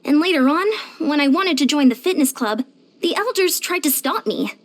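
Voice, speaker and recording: High-pitched, spirited little fitness coach. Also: female voice, animated, moderate pace. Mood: earnest, determined. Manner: earnest, plainspoken, chatty, confident. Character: short, determined, energetic young female fitness coach. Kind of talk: animated video game character voice lines.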